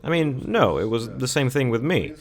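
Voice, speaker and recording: A man interviewee recounting his childhood in a measured, matter-of-fact way. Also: mid-range voice, big pitch swings. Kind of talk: personal recollection in an interview.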